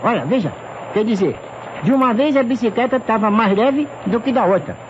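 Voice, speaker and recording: High-voiced, eager detective's assistant, deferential and halting, old radio drama, muffled recording with some hiss. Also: male voice, lively intonation. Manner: halting, repetitive, tags sentences with questions. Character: deferential, puzzled police assistant with foreign-tinged speech. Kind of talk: old radio mystery acting.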